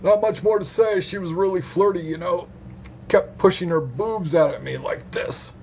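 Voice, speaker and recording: A man acts out a sheepish younger man in a detective story, his voice pitched up. He rambles defensively in loose, colloquial phrases. The recording is clearly noisy. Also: normal speed, darkish tone.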